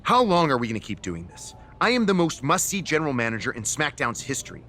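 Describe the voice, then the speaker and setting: A brash, animated wrestling boss, bright-voiced. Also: male voice, medium pitch, fast talker. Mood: exasperated, persuasive. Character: brash, self-important male wrestling show general manager. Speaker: general manager of a wrestling brand. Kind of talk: dramatic video game cutscene dialogue.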